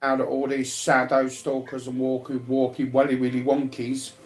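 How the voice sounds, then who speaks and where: A man's voice: medium pitch, completely flat delivery, moderate pace. A man talking in a casual, rambling, colloquial way, often repeating himself. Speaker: speaker sharing personal life news.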